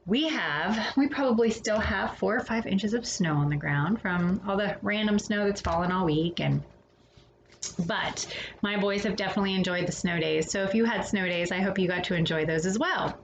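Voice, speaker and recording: A woman hosting a storytime, reading a children's picture book expressively with dramatic pauses and casual asides. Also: medium pitch, dull audio. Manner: animated, dramatic pauses between phrases. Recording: roomy echo with faint background noise.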